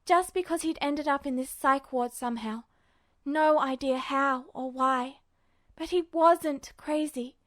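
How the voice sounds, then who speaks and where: A woman's voice: high-pitched, unhurried, a bit bright, dry recording, studio quality. A woman narrating fiction in a dramatic, emotive style, like an audiobook reader. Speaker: podfic reader.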